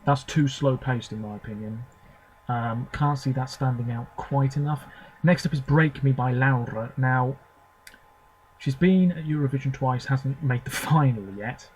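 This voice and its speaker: A young man talking to camera from home about songs he has just heard, his voice sitting at an ordinary middle pitch. He gives short, hesitant verdicts between clips, with a faint noise under the recording. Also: measured pace, low-quality recording. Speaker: video host reviewing contest songs. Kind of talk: casual off-the-cuff commentary.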